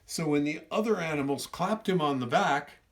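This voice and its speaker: A man's voice: a little high, normal speed, fairly bright tone. Man reading a children's story aloud expressively. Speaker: storyteller reading his own book.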